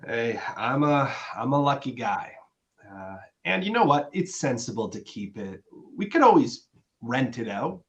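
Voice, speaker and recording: A man's voice: medium pitch, very animated, unhurried, lo-fi sound. A man playing an affable, self-satisfied businessman and boyfriend in a dramatic play reading. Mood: placating.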